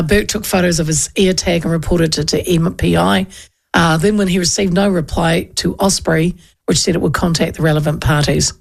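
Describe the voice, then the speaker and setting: Gravelly-voiced New woman radio host. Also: lowish voice. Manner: warm, affectionate banter. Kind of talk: casual radio talk.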